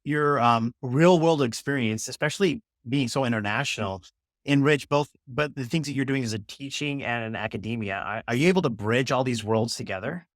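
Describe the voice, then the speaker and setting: A man's voice: mid-range voice, brisk, no echo, clean studio sound. A man co-hosting a podcast, asking interview questions in a hesitant way with many fillers. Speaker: podcast co-host.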